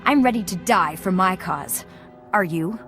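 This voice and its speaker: A woman's voice: fairly low pitch, lively intonation, a little slow, a bit bright. An actress playing an idealistic, headstrong young female activist in a video game. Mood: accusing, defiant. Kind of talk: video game character acting. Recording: music under the voice.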